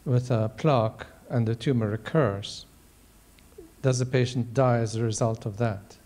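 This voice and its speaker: A man in his sixties answering on a panel in measured, halting phrases, posing questions one after another. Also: medium pitch, steady tone, darkish tone. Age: 60s. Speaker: panel member, ocular oncologist. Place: large auditorium stage. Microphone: handheld microphone.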